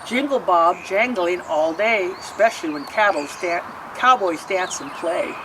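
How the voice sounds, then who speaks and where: A woman reading a rhyming picture book aloud, pausing to explain the pictures. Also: fairly low pitch, recorded from a distance, noisy background. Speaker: storybook reader.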